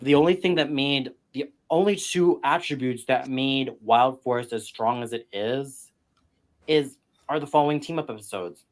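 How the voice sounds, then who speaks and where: A man in his thirties giving measured opinions during a casual livestream chat. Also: mid-range voice. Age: 30s. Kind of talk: casual commentary.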